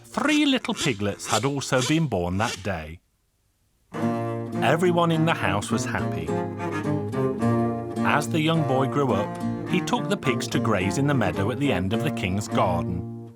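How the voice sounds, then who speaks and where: A man narrating a folk tale in a measured, expressive storybook style over instrumental music. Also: mid-range voice.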